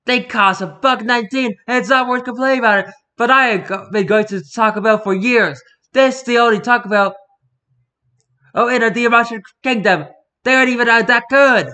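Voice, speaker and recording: A man voicing an excitable, easily flustered cartoon Italian plumber, with a put-on Italian accent and halting, stumbling delivery. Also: very high voice. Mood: exasperated. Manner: halting, stumbling over words.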